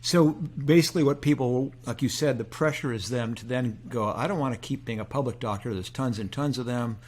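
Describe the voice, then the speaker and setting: Relaxed man hosting an interview. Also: medium pitch, brisk. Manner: relaxed, folksy with fillers. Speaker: podcast host. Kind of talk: conversational interview.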